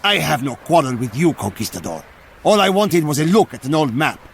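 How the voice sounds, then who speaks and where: Defiant Ottoman fighter for a game: earnest, creaky, fairly high voice over music. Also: lively intonation, brisk, a little hiss or hum. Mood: weary, firm. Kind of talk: video game cutscene acting. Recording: music behind the voice.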